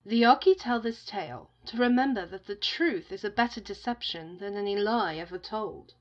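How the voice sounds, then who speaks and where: A woman's voice: medium pitch, lively intonation, moderate pace, dull audio, natural voice. A woman narrating a folktale as a storyteller, in a scripted storybook style.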